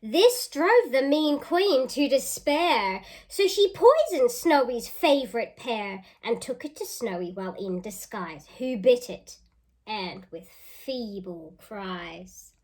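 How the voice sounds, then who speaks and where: A woman reads a storybook as a teacher would for young children. Her voice is fairly high and she takes her time, reading expressively and stopping to ask the listeners questions. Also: very animated, takes it slowly, darkish tone. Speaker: teacher reading a picture book aloud. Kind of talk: children's read-aloud with questions.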